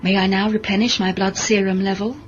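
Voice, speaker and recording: A woman's voice: mid-range voice, level tone, brisk, close to an everyday voice. A woman playing an emotionless, modified cyborg servant in a science fiction audio drama, speaking in a formal, precise, deferential way. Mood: dutiful, businesslike. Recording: dull lo-fi audio drama recording, music behind.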